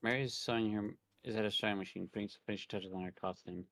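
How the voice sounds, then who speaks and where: Quick-talking man on a game stream. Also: fairly low pitch, fairly even intonation, noisy background. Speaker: co-streamer reading narration. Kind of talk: game narration and casual chat.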